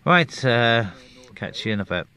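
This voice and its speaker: A man chatting casually over CB radio from a parked car on open moorland, relaxed with lots of fillers. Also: mid-range voice, a little slow. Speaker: CB radio operator and vlogger. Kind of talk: casual on-air radio chat.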